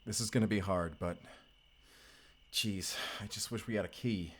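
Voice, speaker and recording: A lowish-pitched male vampire slayer, played by an actor, wry and casual. He quips like an action hero in a cinematic audio roleplay. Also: moderate pace, heavy background noise, close to an everyday voice. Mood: strained effort.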